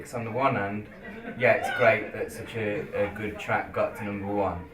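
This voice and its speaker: A man in a band, talking casually in a laid-back way about how the campaign works. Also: lowish voice. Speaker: band member. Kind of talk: casual group chat. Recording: echoey, distant, with a crowd behind.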